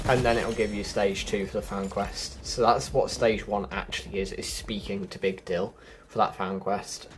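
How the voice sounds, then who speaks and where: A man's voice: mid-range voice, a little hiss or hum. A man giving a casual, chatty gameplay walkthrough, explaining things directly to viewers as a video host.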